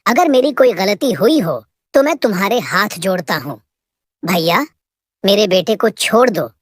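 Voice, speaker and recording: A woman's voice: mid-range voice, animated, unhurried, no room sound, studio quality. A woman voicing a humble, worried father deer who speaks earnestly and emotionally in a cartoon moral story. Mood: pleading.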